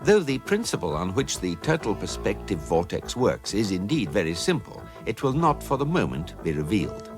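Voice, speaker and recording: An actor playing an urbane guidebook narrator in a comic sci-fi radio drama, formal, measured and deadpan. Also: male voice, fairly low pitch, over music. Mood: dry, formal. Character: urbane male guidebook narrator, calm, drily ironic.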